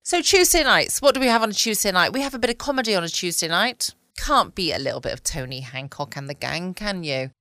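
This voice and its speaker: A woman's voice: on the low side, animated, fast talker, hardly any pauses, pushed and bright, dry recording, clean studio sound. A woman with a southern English accent chatting casually and cosily as the host of a bedtime podcast. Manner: chatty, cosy, informal. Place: at home, indoors.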